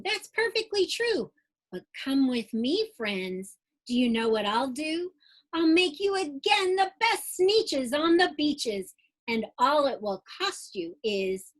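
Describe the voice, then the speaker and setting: A woman voicing a sly, smooth-talking traveling salesman from a children's storybook, full of showy, persuasive patter. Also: sits high, big pitch swings, takes it slowly, heavily acted. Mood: sly, reassuring.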